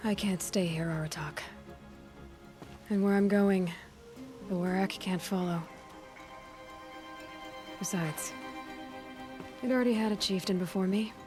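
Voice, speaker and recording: A woman's voice: mid-range voice, measured pace, pauses often, darkish tone, soft background music, close to an everyday voice. A woman playing a young huntress heroine in a video game, sincere, direct and understated. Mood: frank.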